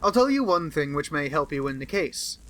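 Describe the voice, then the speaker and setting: A man with an American accent voicing a sharp, sardonic gentleman detective who speaks in a dry, measured way, in an audiobook reading. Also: fairly high pitch, big pitch swings.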